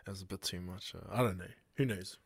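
Laid-back New Zealand man, low voice. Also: quick pace, gravelly, slightly rough, no echo. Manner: laid-back, swears and says "bro" a lot, laughs often. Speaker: podcast host. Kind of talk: casual chat.

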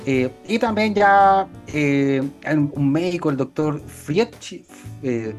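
A man's voice: fairly high pitch, slow. Laid-back Chilean man, faint guitar behind. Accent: Chilean Spanish. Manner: relaxed, hesitant, slangy. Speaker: podcast co-host. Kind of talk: casual chat.

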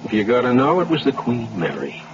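Tough cocky crook on the run, slangy, lively pitch, muffled old radio drama. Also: male voice, fairly quick, over music. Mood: defiant, menacing. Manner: slangy, insolent tough talk. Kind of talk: 1970s radio drama acting. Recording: dull lo-fi vintage radio recording.

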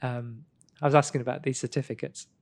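Male host, middle voice, playful and quick to laugh, dry studio sound. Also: medium pitch, fairly even intonation, gravelly, no echo. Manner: teasing, laughs often. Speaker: podcast host. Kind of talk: casual chat.

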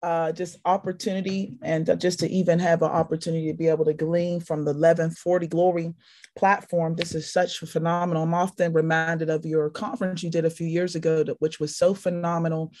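A woman's voice: low-pitched, flat delivery, brisk, warm tone. A woman from Pittsburgh, a podcast guest, talking casually and rambling with many fillers and repeated phrases. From Pittsburgh, Pennsylvania. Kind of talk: casual spoken testimony.

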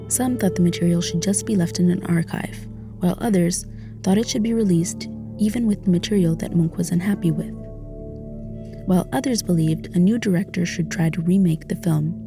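A woman's voice: lowish voice, brisk. A woman narrating a documentary from a script. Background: music.

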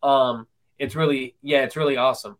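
A man's voice: mid-range voice, fairly even intonation, normal speed, a bit bright. A man in his twenties hosting a casual interview, full of ums and restarts. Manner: hesitant, many ums and restarts. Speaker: podcast host.

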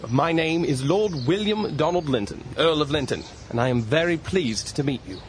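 Man playing a high-pitched, animated English lord. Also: moderate pace, a little hiss or hum, natural voice. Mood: charming, apologetic. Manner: courtly, formal, flustered stammer. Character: charming, vain young English earl, easily flustered. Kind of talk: radio drama acting.

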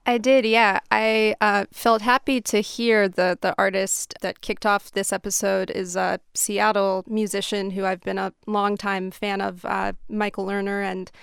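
Creaky, even-toned woman. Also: mid-range voice, unhurried, hardly any pauses, dry recording, studio quality. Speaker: music show panelist. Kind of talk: casual radio chat.

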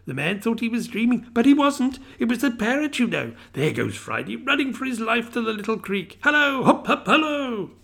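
A man voicing an elderly male miser, giddy and excited, between laughing and crying, his voice climbing high. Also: normal speed, gravelly, few pauses, heavily acted.

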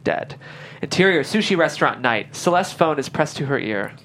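A woman's voice: low voice, animated, moderate pace, slight background noise. A woman reading stage directions as scripted narration at a table read.

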